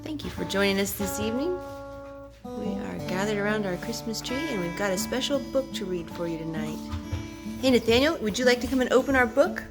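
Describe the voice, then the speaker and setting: A woman hosts a children's storytime over clearly audible music. She reads rhyming picture-book lines in an expressive, gently dramatic way, at an average pitch for a woman. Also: fairly quick. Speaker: storytime host and parent reading to children.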